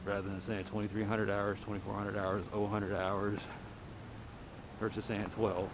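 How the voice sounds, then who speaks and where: A middle-aged Texas man with a low voice and a light twang rambles bluntly as he walks. He is recorded outdoors on a body-worn mic. Cars and street rumble come through clearly behind him. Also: little pitch movement, moderate pace. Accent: Texas, lightly softened. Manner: blunt, wry, talks while walking. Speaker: walking vlogger. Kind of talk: rambling night-walk monologue. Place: residential street at night, outdoors. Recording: street noise and passing cars.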